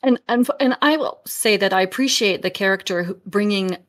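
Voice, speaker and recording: A woman's voice: mid-range voice, moderate pace. Analytical woman co-hosting a film podcast. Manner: analytical, thinks aloud while comparing stories. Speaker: podcast co-host and author. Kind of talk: casual film discussion.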